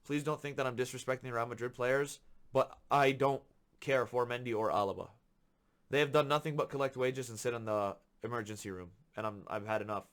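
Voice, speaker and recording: Hoarse, ranting football podcast host. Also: male voice, medium pitch, fairly even intonation, a little fast, fairly bright tone. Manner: frustrated, ranting, emphatic repetition. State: slightly hoarse from illness. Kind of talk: solo football commentary rant.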